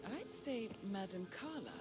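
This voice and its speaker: An actress playing a methodical female investigator in a video game, speaking in a measured, analytical way. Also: medium pitch, animated, over loud music, natural voice.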